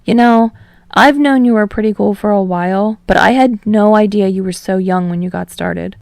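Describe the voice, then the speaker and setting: A woman's voice: medium pitch, moderate pace, creaky, darkish tone, no echo, close to an everyday voice. A woman voicing an easygoing, teasing young man in a casual, friendly way for a story reading.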